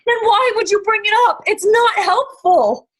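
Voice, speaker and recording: An actress playing an agitated, guilt-ridden accomplice to murder in a dramatic stage play reading, emphatic and repetitive. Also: female voice, very high-pitched, normal speed. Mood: alarmed, incredulous. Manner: agitated, emphatic, repeating herself.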